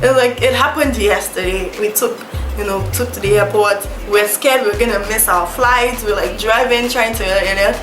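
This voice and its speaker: Young Nigerian woman, vlogger, chatty and rambling, slightly high voice, echoey room with music. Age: young adult. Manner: chatty, full of fillers and self-corrections. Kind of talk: casual storytelling to camera. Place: small indoor room, seated before a plank backdrop.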